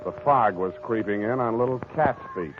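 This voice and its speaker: A man playing a wry, weary private detective in deadpan 1940s radio style, narrating his own story on a tinny broadcast. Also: lowish voice, normal speed, noisy background, natural voice. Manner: deadpan, wisecracking. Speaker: lead actor and narrator in a radio detective drama.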